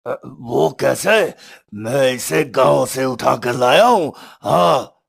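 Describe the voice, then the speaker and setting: A man voicing a menacing, gloating sorcerer in a cartoon story, with a gravelly, theatrical delivery. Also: medium pitch, expressive, takes it slowly, exaggerated voice. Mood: thwarted, scheming. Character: menacing male sorcerer plotting human sacrifices in a cave. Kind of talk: Hindi cartoon story voice acting.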